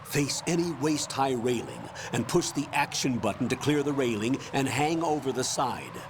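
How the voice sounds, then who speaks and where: An actor playing a stern commanding officer in a video game, giving measured, authoritative tactical instructions. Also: male voice, medium pitch, very animated, background noise, lightly acted. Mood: calm instructing. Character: stern commanding officer briefing a soldier by radio.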